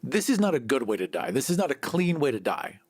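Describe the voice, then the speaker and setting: A man's voice: medium pitch, sing-song, a little fast, a little mellow, no room sound. Blunt man, very dry studio sound. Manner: opinionated, blunt, emphatic repetition. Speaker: podcast host. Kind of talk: casual podcast chat.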